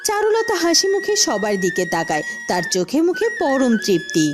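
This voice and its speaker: A woman's voice: sits high, huge pitch swings, moderate pace, music in the background. A woman narrator reading dramatic scripted storybook narration for a cartoon.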